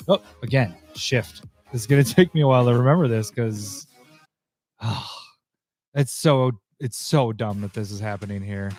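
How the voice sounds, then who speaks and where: A man hosting a livestream talks casually as he works, his voice a little hoarse. He thinks aloud and grumbles when things go wrong. Faint music plays quietly behind him. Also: mid-range voice, normal speed. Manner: chatty, easily annoyed, thinking aloud. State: slightly hoarse, losing his voice. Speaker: host. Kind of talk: casual livestream commentary while editing.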